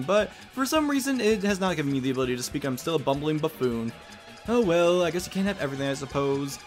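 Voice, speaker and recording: A man giving casual, rambling, self-deprecating commentary on a video game. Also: a little high. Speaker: let's player. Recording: game music behind.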